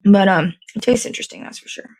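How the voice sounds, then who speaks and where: A woman's voice: medium pitch, fast, darkish tone, breathy edge, rough recording. A woman, hosting a casual, rambling livestream in a playful, slangy, easygoing way. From American South. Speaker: host of a morning livestream show.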